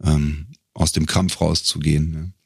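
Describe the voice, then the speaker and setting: A man's voice: extremely low pitch, little pitch movement, unhurried, vocal fry, breathy edge, no room sound. A middle-aged man talking casually and reflectively as an interview guest, feeling his way through phrases. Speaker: guest, professional voice actor.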